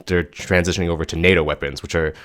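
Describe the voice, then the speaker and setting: A man's voice: low-pitched, steady tone, quick pace, a bit bright. A man giving casual commentary, explaining what he is listening to. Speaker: commentator reacting to a recording.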